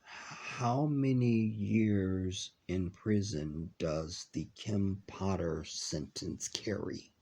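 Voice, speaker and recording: Low husky congested man rambling. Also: dark tone. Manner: rambling with many ums, drawn-out words. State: congested from a cold. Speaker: video reaction host. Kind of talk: casual live reaction vlog.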